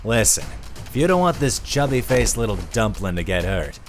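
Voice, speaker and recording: A man's voice: fairly low pitch, big pitch swings, normal speed, faint music behind. A man playing a threatening, slangy kidnapper thug in a cartoon parody. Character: gruff male kidnapper demanding ransom.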